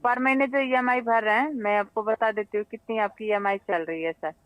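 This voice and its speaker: A smooth, clear-voiced woman with an Indian accent speaks as a customer service agent on a recorded phone call. She is polite and somewhat halting, going over figures again and often checking that the listener follows. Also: on the high side, steady tone, no room sound. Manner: polite, repeatedly checks understanding. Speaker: loan company call centre agent.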